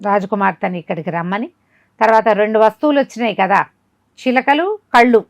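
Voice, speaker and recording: A woman storyteller, recorded dry and close, with a middling pitch. She tells a folk tale in a conversational way. She keeps putting rhetorical questions to the listener as she goes. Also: brisk, no echo, highs cut off. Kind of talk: informal spoken telling of a folk tale.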